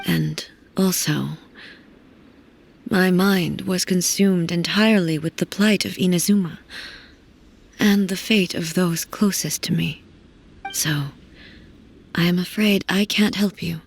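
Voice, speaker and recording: Solemn warrior ruler, formal and measured. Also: female voice, fairly low pitch, fairly even intonation, vocal fry, slightly rough, full character voice. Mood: solemn, composed. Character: stoic, solemn warrior woman ruler in fantasy game. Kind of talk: video game voice acting.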